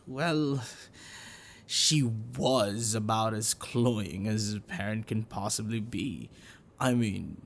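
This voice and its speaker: Man acting as gentle dragon librarian, ASMR boyfriend roleplay, creaky voice, soft explanatory manner, noticeable background noise. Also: mid-range voice. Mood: wry, reflective. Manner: hesitant, soft, caring, explanatory. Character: gentle bookish male dragon-blooded librarian, devoted boyfriend. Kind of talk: ASMR roleplay, speaking to the listener.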